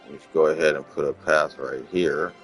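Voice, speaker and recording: A man from Los Angeles, casually talking through his game in a rambling, relaxed way, often repeating words. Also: extremely low pitch, normal speed, faint music behind. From Los Angeles, USA. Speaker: gaming channel host. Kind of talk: casual gameplay walkthrough commentary.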